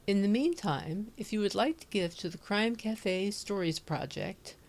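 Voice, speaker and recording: A woman's voice: medium pitch, lively intonation, a little slow, a little mellow. A woman hosting a podcast, giving a scripted introduction. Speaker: podcast host.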